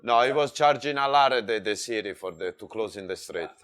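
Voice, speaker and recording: A man's voice: lowish voice, expressive, brisk, a bit bright, a little hiss or hum. A middle-aged man with an Italian accent, a chef and co-owner chatting casually, chiming in with short remarks. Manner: terse interjections, chiming in. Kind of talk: casual interview chat.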